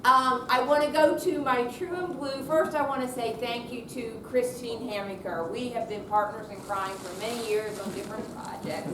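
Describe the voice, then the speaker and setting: Woman organizer, high voice, chatty closing thanks to an audience, noisy distant pickup. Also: fairly even intonation, normal speed, few pauses. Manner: chatty, informal. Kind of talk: informal thank-you speech to an audience. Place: room at a senior center. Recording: roomy, distant mic, noisy.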